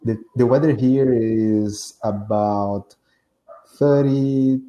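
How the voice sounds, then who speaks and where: A man's voice: mid-range voice, steady tone, very slow, lo-fi sound. A man from Brazil with a Brazilian Portuguese accent, speaking English as a learner in a casual video call, hesitant and often restarting his phrases. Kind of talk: casual online lesson chat.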